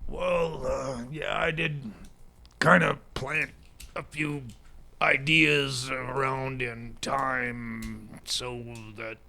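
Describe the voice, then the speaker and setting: A man's voice: medium pitch, steady tone, very slow, gravelly, pushed and bright, full character voice. A man playing a sheepish, defensive time-traveling sidekick in an improvised radio comedy, faltering as he justifies himself.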